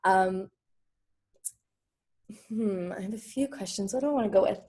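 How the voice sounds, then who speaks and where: Woman coach hosting a group video call, enthusiastic and effusive, mid-pitched. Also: moderate pace, mellow, husky.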